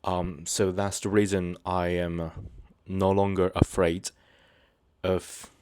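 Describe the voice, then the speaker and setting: A man hosting his own channel, talking to viewers unscripted in a halting, hesitant way, full of fillers and restarts, earnest and sincere. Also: low-pitched, level tone, a little mellow, slight background noise. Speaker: channel host. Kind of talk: unscripted personal talk to viewers.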